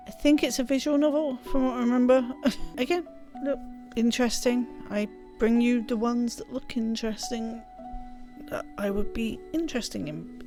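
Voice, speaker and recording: A woman's voice: a little high, darkish tone. A woman hosting a gaming video, chatting casually and reading story text aloud with expression. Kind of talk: let's play chat and expressive read-aloud narration.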